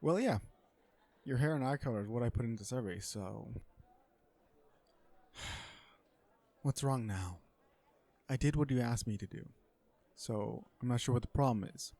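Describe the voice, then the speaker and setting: A man playing an awkward, blunt, legal-minded villain on a blind date in an audio roleplay, hesitant and deadpan. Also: medium pitch, unhurried, creaky, pauses often. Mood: flustered, blunt, then awkward, resigned. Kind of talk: romantic comedy audio roleplay, one side of a dialogue.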